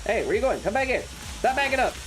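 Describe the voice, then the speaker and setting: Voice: mid-range voice, sing-song, fast, music in the background, rough recording. A streamer chatting casually over a game in a sardonic, mock-serious way, taking time over the words. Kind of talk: casual livestream chat and game commentary.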